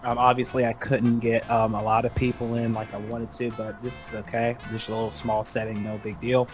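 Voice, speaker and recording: A man's voice: medium pitch, little pitch movement, fairly quick. Male podcast host with music behind. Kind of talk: casual roundtable podcast talk.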